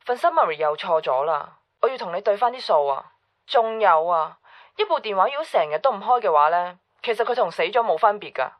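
An actress with a Hong Kong Cantonese accent playing a sarcastic, nagging office colleague on a telephone-filtered voicemail in a radio drama. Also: female voice, medium pitch, expressive, brisk, no echo. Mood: exasperated. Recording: dull voicemail phone line.

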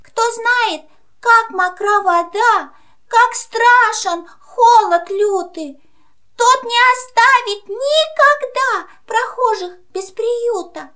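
A woman's voice: very high-pitched, slow pace, sharp tone, exaggerated voice. A woman playing a small, earnest young kitten in a children's audio play, speaking childlike verse. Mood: earnest compassion.

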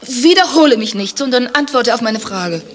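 An actress playing an imperious, accusing wife in a satirical radio play, scornful and commanding, with a husky voice and theatrical delivery. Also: female voice, fairly high pitch, lively intonation, moderate pace, a little hiss or hum, close to an everyday voice. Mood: sharp, accusing. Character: imperious, accusing wife who suspects her husband.

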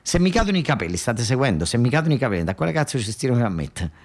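A man's voice: medium pitch, very animated, very fast. A man voicing a bossy woman who interrogates her sister with coarse, insistent, repeated questions in a stage monologue. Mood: insistent, needling.

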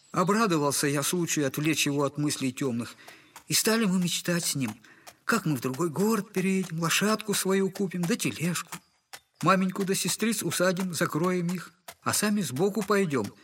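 An actor playing a humble, wounded retired captain and loving poor father in an audio drama, speaking effusively and haltingly in a servile old-fashioned way. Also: high voice, expressive, a bit bright, a little hiss or hum. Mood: mournful, tender.

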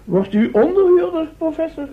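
An actor playing an eager, trusting young male companion in a Dutch radio play, lively and respectful. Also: extremely high pitch, normal speed. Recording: muffled old radio play recording.

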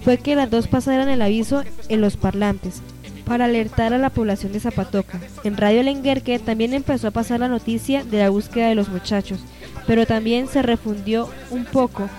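A woman's voice: fairly high pitch, brisk. A woman reading scripted narration for a radio drama. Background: soft music.

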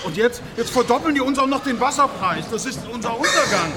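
Aggrieved pig farmer, high voice, self-justifying and halting, naturalistic radio drama, noisy location. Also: male voice, lively intonation. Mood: indignant, agitated. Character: aggrieved, defensive male German pig farm owner. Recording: loud ambient noise of an animal barn.

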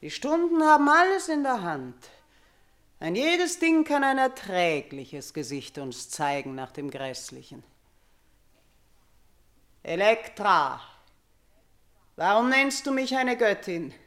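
Slow, swooping, imperious tragic queen. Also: mid-range voice, big pitch swings, fairly bright tone. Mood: commanding, menacing. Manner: imperious, drawn-out declamation with sudden rises. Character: tormented, menacing queen and mother, brooding and imperious. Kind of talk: theatrical German radio tragedy acting.

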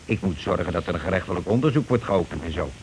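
An actor playing a scheming, money-grabbing husband and landlord in a radio play, talking in wheedling, self-justifying colloquial patter. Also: male voice, low-pitched, lively intonation, fast talker, darkish tone. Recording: noisy old radio drama recording.

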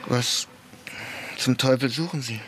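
An actor in a German radio drama playing a suspicious young-republic-era army lieutenant, questioning in a terse, demanding way. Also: male voice, mid-range voice, measured pace, a bit bright, slight background noise, natural voice, rough recording. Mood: wary, tense.

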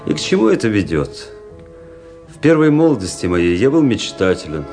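Deep-voiced, world-weary officer. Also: male voice, very animated, a little mellow. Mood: brooding, weary. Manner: introspective, world-weary, measured literary delivery. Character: weary, cynical young army officer, brooding narrator. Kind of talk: radio drama narration. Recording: music under the voice.

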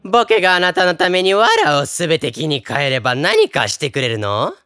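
A sly, playful little dwarf from a storybook, speaking low and deep as a woman acts him out. His voice swoops wildly up and down. Music plays underneath. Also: very animated, normal speed, fairly bright tone, smooth, no echo. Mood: coaxing. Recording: background music.

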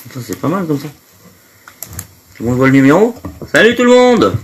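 A man's voice: fairly high pitch, big pitch swings, fairly quick. A man hosting a live stream from home, stuffy with hay fever, chatting casually and greeting his viewers one by one. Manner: chatty, greets viewers by name, trails off with fillers.

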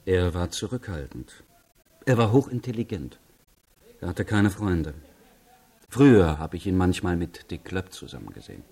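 A guarded thirtysomething teacher under questioning, deep and a bit rough, measured, radio crime drama. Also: male voice, very animated, a little mellow, exaggerated voice. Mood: measured, reserved. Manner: measured, restrained, short answers. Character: reserved schoolteacher in his early thirties, guarded suspect.

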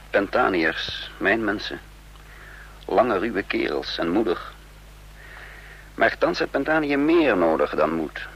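A resourceful man on the run who takes charge by instinct. His voice is on the low side and moves freely as he explains and narrates with measured authority. The radio drama recording is clearly noisy. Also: fairly bright tone, full character voice. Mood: grave narrating. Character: resourceful, commanding man on the run, wary and self-assured. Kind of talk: radio drama acting with first-person narration.

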